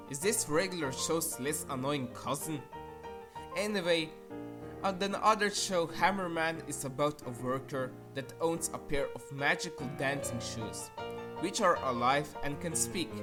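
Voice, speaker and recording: A woman with a low-pitched voice delivers an opinionated countdown review. She takes her time over each sentence, sounding blunt and sarcastic, with casual crude language. Music plays clearly behind her. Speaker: video reviewer.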